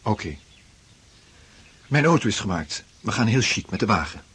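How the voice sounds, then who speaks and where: An actor playing a troubled, anxious tenant in a radio drama, earnest and hesitant. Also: male voice, on the low side, very animated, moderate pace, a bit bright, slightly rough, heavily acted. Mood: affectionate. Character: troubled male tenant, anxious, convinced the house is wrong. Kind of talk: Dutch radio drama acting.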